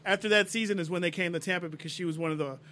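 Man, podcast co-host, quick rambling talker, light ambient noise. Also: high-pitched, pushed and bright. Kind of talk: casual chat.

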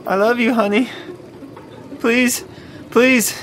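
A man playing a panicked, pleading man in a horror podcast, loud and desperate. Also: extremely high pitch, steady tone, takes it slowly, slight background noise, heavily acted. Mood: pleading, scared. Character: panicked, pleading young man trapped in web.

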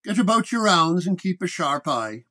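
A man voicing a gruff, sour older police sergeant with a brusque, emphatic manner in a detective story. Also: high voice, very animated, moderate pace, sharp tone, exaggerated voice. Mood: annoyed, brusque. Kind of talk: storybook detective horror dialogue.

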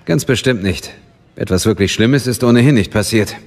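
An actor playing a dutiful, respectful intelligence captain, formal and factual, in a radio drama. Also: male voice, lowish voice, moderate pace, a little mellow.

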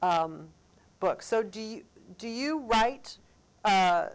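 A middle-aged woman interviewing a guest in a TV studio on a lapel mic, encouraging and quick with short replies. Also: mid-range voice, expressive, low-quality recording. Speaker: interviewer host. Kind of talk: interview conversation. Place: TV studio, seated.

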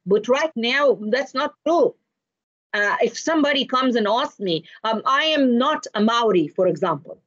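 A middle-aged woman from Egypt, giving an expressive, explanatory online lecture with anecdotes over a video call. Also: a little high, moderate pace, husky, no room sound. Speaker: lecturer presenting to teachers. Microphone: video call, computer microphone. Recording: dull, lo-fi video call audio.

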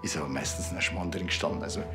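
Guy doing sardonic bavarian village cop, unhurried, tv comedy, clear music behind him. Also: low voice, steady tone, roomy sound, close to an everyday voice. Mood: dry, probing. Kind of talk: naturalistic TV crime comedy dialogue.

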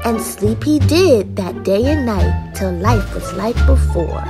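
A young woman hosting a children's read-aloud, narrating in an expressive, deliberate storyteller style. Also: medium pitch, music in the background.

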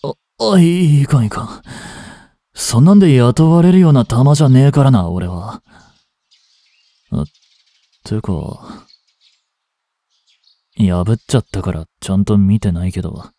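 An actor playing a cocky, rough-tongued young male delinquent in a Japanese audio drama, with brash, slangy tough-guy talk. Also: medium pitch, measured pace, long pauses, warm tone, no room sound, lightly acted. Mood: surprised, tempted, then scornful, defiant. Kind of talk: Japanese audio drama acting, one-sided dialogue to the listener.

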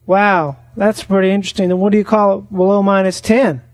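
A man making a deadpan prank phone call, rambling naively and slow to catch on. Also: high voice, dry recording. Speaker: prank caller on a comedy podcast.

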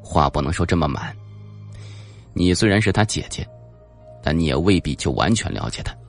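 Man playing deep, measured psychologist. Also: animated, creaky, soft background music, exaggerated voice. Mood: placating. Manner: measured, reasoning, placating. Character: calm, guarded male psychologist, cautious and reassuring.

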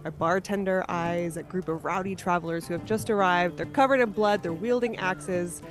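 A woman's voice: fairly low pitch, moderate pace, faint music behind. A woman hosting a podcast, moving between scripted introduction and casual chat. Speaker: podcast host.

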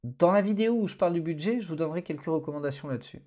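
A man talks through a screen-recorded tutorial in a relaxed, hesitant way, with many fillers, as an online course instructor. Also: fairly high pitch, racing.

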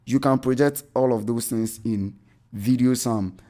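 Man rambling through a software review. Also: mid-range voice, steady tone, measured pace. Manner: rambling, self-correcting with frequent "I mean" and "um". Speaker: tech channel host reviewing church software. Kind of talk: unscripted screen-share tutorial.

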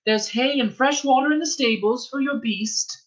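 Man putting on a high, chatty old innkeeper's wife. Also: moderate pace, fairly bright tone. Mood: coaxing. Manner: chatty, coaxing, folksy. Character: friendly, motherly old female innkeeper's wife.

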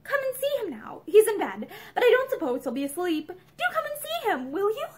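A woman's voice: very high-pitched, normal speed, pitched above the performer's own voice. A woman voicing a childishly eager, impulsive young wife and doting mother in a story. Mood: eager, pleading. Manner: impulsive, pleading, excitable.

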